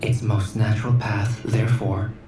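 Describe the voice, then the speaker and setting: A man's voice: lowish voice, flat delivery, normal speed, reverberant, recorded from a distance, background noise, close to an everyday voice. A man playing a cool, articulate scholar in a video game, precise and lecturing in manner. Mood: thoughtful, explanatory. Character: cool, analytical young male scholar, protective and articulate.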